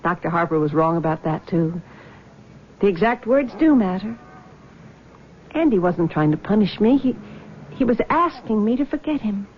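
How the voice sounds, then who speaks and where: An American actress playing a troubled, earnest young daughter in a 1970s radio drama, speaking hesitantly and with feeling. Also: female voice, medium pitch, animated, normal speed, pauses often, lo-fi sound, lightly acted. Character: troubled young woman, guilt-haunted, breaking from her mother. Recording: faintly noisy 1970s radio recording.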